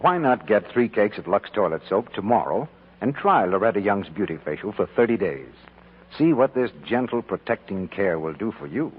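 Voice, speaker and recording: Deep, animated male radio announcer. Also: normal speed, breathy edge. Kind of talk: scripted 1940s radio commercial announcing. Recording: dull, hissy 1940s radio recording.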